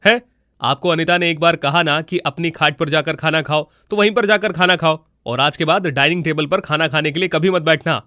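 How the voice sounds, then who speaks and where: A man's voice: a little high, fairly even intonation, a little fast, bright, forceful tone, no echo, studio quality. Man playing a curt, irritable grown son. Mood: angry, scolding. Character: gruff, hen-pecked grown son, rude to his parents. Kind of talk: Hindi cartoon moral-story dialogue.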